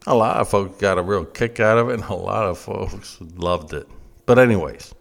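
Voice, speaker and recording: A man's voice: fairly low pitch, normal speed, gravelly. An American man in his fifties delivering an opinionated political monologue, blunt and emphatic, often repeating phrases to drive them home. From United States. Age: 50s. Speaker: political commentator and host.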